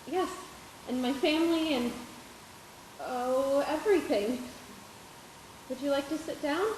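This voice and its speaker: An actress as a feisty, coy small-town young woman in a radio drama. Her high voice is full of swoops, ringing from off mic in a very echoey space with clear noise. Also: measured pace, darkish tone. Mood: coy, hopeful. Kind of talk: live 1940s-style radio play acting. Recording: very echoey stage, distant, noisy.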